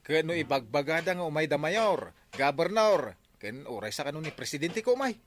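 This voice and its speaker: Nosy, eager village friend, male actor, Ilocano accent, lively swooping delivery for a comedy radio play. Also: a little high, a little fast. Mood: eager, chummy. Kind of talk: comedy radio drama acting.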